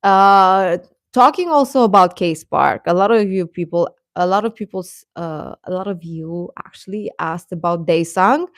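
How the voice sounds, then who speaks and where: A woman hosting a casual livestream, chatting and commenting in a hesitant, halting way. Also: on the low side, no room sound, studio quality. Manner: hesitant, halting, chuckles often while talking. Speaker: livestream host reading viewer comments.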